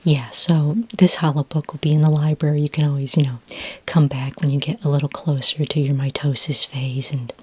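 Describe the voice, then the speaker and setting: Female ASMR performer as a friendly librarian: low-pitched, breathy near-whisper, gentle and patient, conversational delivery. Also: little pitch movement, no room sound. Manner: gentle, unhurried, helpful, conversational. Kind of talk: soft-spoken ASMR roleplay. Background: computer keyboard typing.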